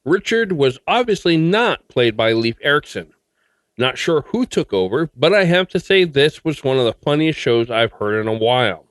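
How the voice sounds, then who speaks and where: A man's voice: medium pitch, big pitch swings, moderate pace, no room sound. A man hosting a podcast, giving scripted commentary and sign-off announcements. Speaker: podcast host. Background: music.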